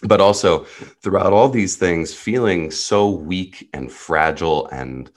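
A man's voice: low voice, slow pace. A man, a native Hebrew speaker who grew up in the US, telling his life story as a podcast guest in an earnest, reflective and blunt way. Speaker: podcast guest, personal guide. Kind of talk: candid personal storytelling.

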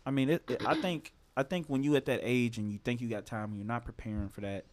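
A man's voice: medium pitch, fairly even intonation, quick pace. Candid man in his twenties, loose talk. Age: 20s. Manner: loose and candid, swears freely, lots of "you know what I mean". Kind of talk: casual chat.

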